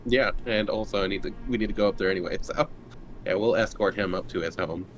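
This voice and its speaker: Male tabletop player, slightly low voice, casual game chat, clearly noisy background. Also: quick pace.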